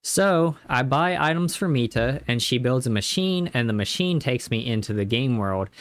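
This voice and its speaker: A man's voice: medium pitch, expressive, moderate pace. A man narrating a casual scripted recap of a video game, with tongue-in-cheek exaggeration.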